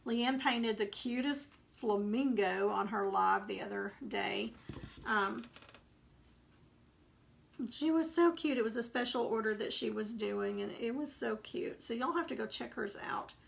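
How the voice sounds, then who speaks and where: A woman with a Texas accent and a somewhat high, gravelly voice. She talks through a live painting tutorial in a casual, rambling way, drifting into homey asides from her craft workspace. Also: unhurried. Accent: Texan.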